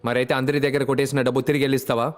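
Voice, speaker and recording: A reverberant film scene with music behind the voice. An actor plays a gruff, sharp-eyed detective who speaks quickly, giving curt orders and blunt statements like a man used to command. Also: medium pitch, level tone, natural voice. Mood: blunt, probing. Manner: curt, commanding, matter-of-fact. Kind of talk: film drama dialogue. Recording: long echoing reverb, film music underneath.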